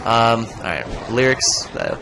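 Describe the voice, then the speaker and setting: A man's voice: medium pitch, huge pitch swings, a little slow, gravelly, noisy background. A young man streaming a video game, chatting casually, rambling and joking as he plays. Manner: rambling, joking, breaks into goofy voices. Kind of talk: casual gaming commentary.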